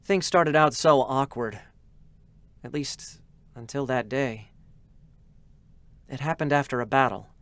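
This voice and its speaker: Boyish, earnest young android scout. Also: male voice, medium pitch, slow pace, pauses often. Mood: subdued, reflective. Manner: eager, hesitant, emotionally expressive. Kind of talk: dramatic concert reading.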